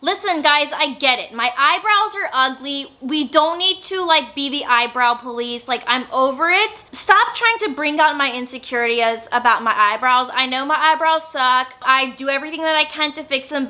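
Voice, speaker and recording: A young woman in a casual sit-down vlog, talking loudly and openly, with some stammering and lots of filler words. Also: high-pitched. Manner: naturally loud, with stammers and many filler words. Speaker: YouTuber. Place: indoors, sit-down filming setup.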